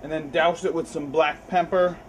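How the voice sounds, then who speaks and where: A man's voice: on the high side, steady tone, normal speed, slight background noise. A young man hosting a casual cooking vlog in his home kitchen, chatty and loose, recorded on a camera mic.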